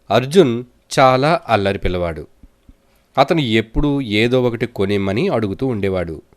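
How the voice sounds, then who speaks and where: A man narrating scripted children's moral stories as a storyteller. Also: on the low side.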